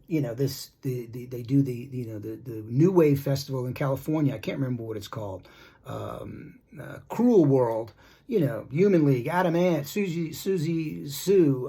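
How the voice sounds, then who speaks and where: Middle-aged male music commentator, husky voice, off-the-cuff, opinionated and meandering. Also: on the high side, lively intonation, warm tone. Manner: rambling, repetitive, halting asides; blunt, critical. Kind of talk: casual opinion monologue.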